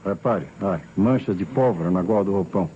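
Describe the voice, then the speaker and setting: Man acting a brazilian police commissioner, low mellow voice, authoritative, muffled old radio mystery. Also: a little hiss or hum, lightly acted. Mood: measured, analytical. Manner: deliberate, authoritative, questioning. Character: brisk, probing police commissioner, a grown man. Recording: muffled vintage radio recording, music behind.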